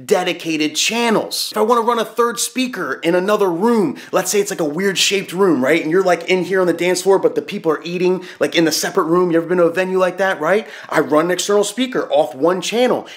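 A man in his thirties, a DJ, talking straight to camera in a small home room, loud and projecting, animated and gesturing as he explains. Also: high-pitched, brisk, few pauses. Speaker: DJ giving tips. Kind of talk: energetic direct-to-camera explainer.

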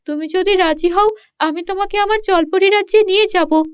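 A woman's voice: very high-pitched, fairly even intonation, normal speed, no echo, muffled recording, pitched above the performer's own voice. A woman voicing an eager, lovestruck young mermaid princess in a children's cartoon, pleading and coaxing sweetly. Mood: earnest, coaxing.

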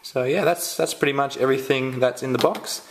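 An Australian man giving a casual unboxing walkthrough at home, halting and full of ums and restarts. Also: medium pitch, noisy background. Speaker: tech reviewer. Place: indoors at home, filming the box up close.

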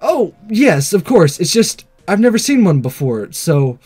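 A man voicing a shy, quiet teenage student in a visual novel, speaking softly and hesitantly. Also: sits high, big pitch swings, unhurried, breathy edge, soft background music, heavily acted. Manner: soft-spoken, hesitant, awkward. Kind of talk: visual novel character read aloud.

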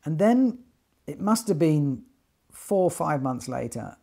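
A man's voice: on the high side, sing-song, takes it slowly, gravelly, mellow, slightly rough. An older man telling his story informally to camera, animatedly retelling conversations and sometimes repeating words as he goes. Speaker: loudspeaker designer telling company story. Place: small attic sitting room, indoors.

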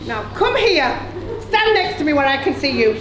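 A woman's voice: sits high, big pitch swings, normal speed. A woman playing a bossy, domineering Empress in a stage pantomime, commanding and scolding as she projects. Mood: bossy, impatient. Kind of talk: loud stage pantomime comedy. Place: large school hall during a staff pantomime. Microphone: far camera. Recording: echoey school hall, far mic, noisy children.

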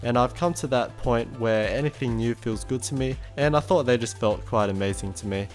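A man's voice: medium pitch, moderate pace, faint music behind. A young man with an Australian accent, narrating an easygoing voice-over of a shopping trip. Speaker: vlogger.

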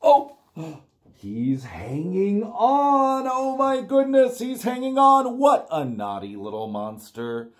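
A man's voice: high-pitched, slow. A man in his forties reading aloud to young children as a storytime host, with an exaggerated, playful, sing-song delivery. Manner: animated, exaggerated, sing-song repetition with gasps. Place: small plain room indoors.

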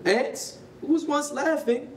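A man's voice: very high voice, slow pace. An American man reading scripted stage directions as narrator at a staged reading. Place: concrete-walled rehearsal hall. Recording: roomy, distant mic, faint noise.

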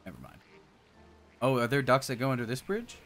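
A man co-hosts a game stream with casual, playful banter. His pitch is mid-range and jumps around in animated bursts and exclamations. Light game music plays behind him. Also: a little fast. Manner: playful, exclaiming, uses silly nicknames. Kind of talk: casual gameplay banter and commentary.

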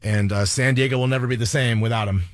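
An American man hosting a radio show, talking off the cuff in an earnest, halting way. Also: medium pitch, steady tone, no room sound, studio quality. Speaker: radio host. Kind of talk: unscripted radio talk, tribute. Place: radio studio.

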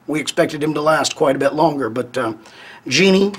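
A man with a husky, slightly high voice talks in measured, deliberate phrases. He pauses often between thoughts, as in an interview soundbite for a news report.

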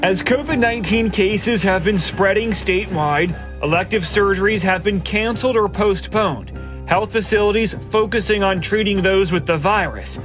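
A man's voice: sits high, normal speed, fairly bright tone, soft background music. A man reading a scripted news report as a reporter.

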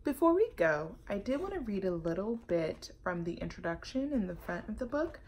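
A woman in her thirties reading a children's picture book aloud, deliberate and expressive, recorded at arm's length at home. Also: medium pitch, very noisy. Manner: animated, deliberate, emphatic storytelling. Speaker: storytime host. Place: home living room and kitchen, indoors.

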